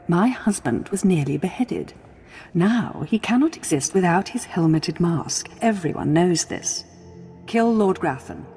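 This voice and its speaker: A woman's voice: lowish voice, a little mellow. A woman playing a proud, scheming middle-aged noblewoman in a video game, imperious, formal and dramatic. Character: proud, scheming Sith noblewoman and protective mother. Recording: long hall reverb, faint music.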